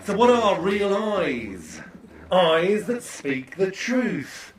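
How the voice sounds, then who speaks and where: Pompous course leader, highish voice, slick motivational patter, roomy echo, radio drama. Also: male voice, big pitch swings, very slow, exaggerated voice. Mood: patronising, cajoling. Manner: slick, pompous, jargon-laden salesmanship. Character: smug, overbearing male motivational course leader. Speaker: self-confidence course trainer.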